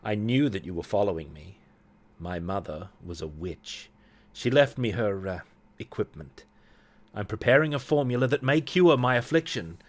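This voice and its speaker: A man's voice: fairly low pitch, expressive, normal speed. A man voicing a fragile, yearning young witch from a gothic story, wistful and dramatic. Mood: brooding, yearning.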